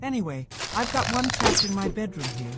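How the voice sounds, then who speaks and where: A woman's voice: medium pitch, sing-song, brisk, very noisy, lightly acted. A woman voicing a fretful, quirky townswoman in scripted video game dialogue. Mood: worried. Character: fretful, quirky townswoman obsessed with her pillows. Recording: game music behind the voice.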